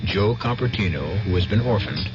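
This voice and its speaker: A man's voice: lowish voice, lively intonation, normal speed. Theatrical male radio narrator with swooping intonation. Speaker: host and narrator. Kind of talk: dramatic scripted radio narration. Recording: muffled old radio broadcast with music.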